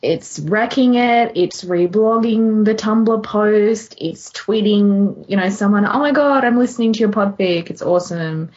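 Female podcast co-host, medium pitch, informal discussion, slightly muffled recording. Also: a little slow, few pauses, darkish tone. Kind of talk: casual chat.

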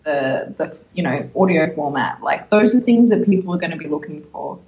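A woman gives advice in a casual podcast discussion. Her voice is a little low in pitch. The recording sounds slightly dull and lo-fi. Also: animated, normal speed, darkish tone. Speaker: podcast co-host.

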